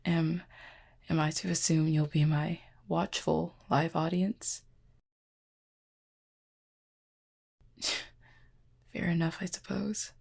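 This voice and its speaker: A woman playing an injured, proud and guarded cupid in an intimate audio roleplay, speaking formally and wryly in a husky, pained voice with weary sighs. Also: sits low, little pitch movement, a little mellow, exaggerated voice. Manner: formal, wry wording with weary sighs and hesitant pauses. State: in pain, strained.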